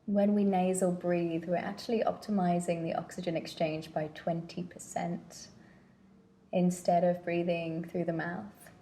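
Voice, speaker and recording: A woman leading a guided meditation with gentle, unhurried instruction and pauses between phrases. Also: on the low side, flat delivery.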